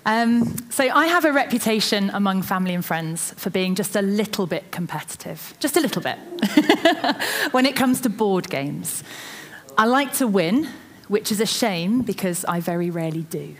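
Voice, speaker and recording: A woman's voice: mid-range voice, expressive, moderate pace. A woman giving a sermon in church into a microphone, opening with a chatty, self-deprecating story. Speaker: preacher.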